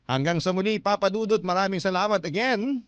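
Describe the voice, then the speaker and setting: A man's voice: high-pitched, fairly quick, clean tone, no echo. A man with a Filipino accent reading a listener's letter as a radio host, in sentimental scripted storytelling. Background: loud plucked guitar music.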